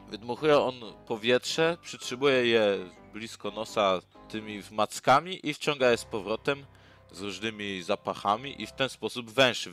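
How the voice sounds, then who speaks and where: A man's voice: fairly low pitch, a bit bright. A young man hosting a nature channel, narrating animal facts in an informal, explanatory way, often correcting himself mid-phrase. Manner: casual, with fillers and self-corrections.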